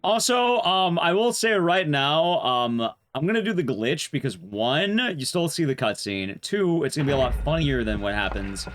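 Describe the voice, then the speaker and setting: A man's voice: a little high, very animated, moderate pace, hardly any pauses, a bit bright, a little hiss or hum. A man speedrunning a game and giving casual commentary, explaining things in a rambling, playful way. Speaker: speedrunner, streamer. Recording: game music behind the voice.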